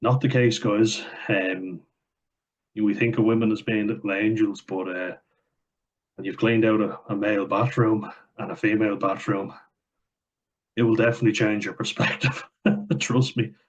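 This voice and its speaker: A man's voice: lowish voice, fairly even intonation, normal speed, pauses often. A young man reading out viewer comments and answering them in blunt, sweary casual chat, with frequent fillers. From Ireland. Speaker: vlogger. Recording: dull lo-fi recording.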